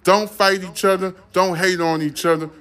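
A man's voice: high voice, steady tone, moderate pace, a bit bright. A man hosting his own podcast, giving a blunt, profane, ranting opinion monologue. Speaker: podcast host.

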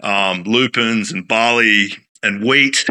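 A man's voice: fairly low pitch, takes it slowly, pushed and bright, smooth. A man, a chef talking casually as a guest, rambling with many fillers and restarts. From Australia. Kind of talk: casual interview talk.

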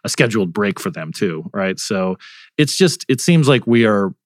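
Guy hosting a politics talk, lowish voice, kinda rambly questions, really dry close sound. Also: animated, moderate pace, dry recording. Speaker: host. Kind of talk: conversational political news discussion.

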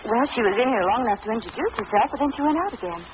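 A dull, noisy vintage radio recording features an actress as a sharp-witted lady companion to a detective. She is poised and inquisitive, talking quickly. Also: fairly high pitch, lively intonation, natural voice. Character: alert, inquisitive young lady companion of an investigator. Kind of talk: 1940s radio acting.

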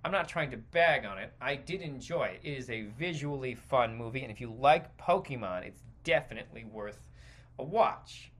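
Animated, bright-voiced man ranting. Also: mid-range voice, moderate pace. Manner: rambling, digressive, emphatic. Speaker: podcast host. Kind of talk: opinionated stream-of-consciousness commentary.

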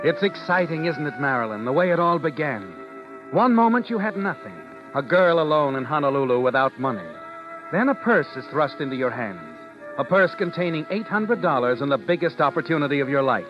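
An actor playing a knowing, taunting narrator of a 1940s radio suspense drama, speaking straight to the heroine. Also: male voice, on the high side, sing-song, a little fast. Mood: insinuating, suspenseful. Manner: taunting, second-person storytelling. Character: knowing, insinuating male narrator addressing the heroine. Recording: muffled old radio recording, loud music.

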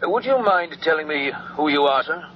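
Guarded inspector on the phone. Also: male voice, fairly high pitch, animated, a little fast, breathy edge, highs cut off. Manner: formal, probing. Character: brisk, guarded police chief inspector on telephone. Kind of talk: radio horror drama acting.

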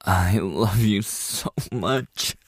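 A man acting a tender, lovestruck boss in an intimate boyfriend roleplay, speaking softly and hesitantly with a husky, breathy voice. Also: on the low side, no echo, heavily acted. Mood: loving, intimate. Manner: soft, hesitant, slow with long pauses. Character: tender, lovestruck male boss confessing to an employee. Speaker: audio roleplay performer.

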